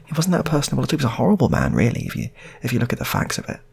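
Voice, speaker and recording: Husky, creaky-voiced man improvising a story. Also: medium pitch, huge pitch swings, rapid-fire. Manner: rambling, repeats phrases for effect. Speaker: storyteller, channel host. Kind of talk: improvised storytelling, casual and unscripted.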